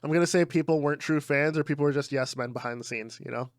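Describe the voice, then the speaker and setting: Excitable man chatting on a podcast. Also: a little high, quick pace, no room sound, studio quality. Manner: swears freely, laughs often, excitable. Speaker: podcast co-host. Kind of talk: casual chat.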